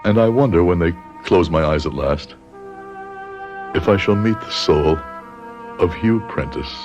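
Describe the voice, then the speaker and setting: A man acting in a radio drama as an aging, guilt-ridden psychology professor, grave and deliberate, with formal wording. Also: very deep voice. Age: older.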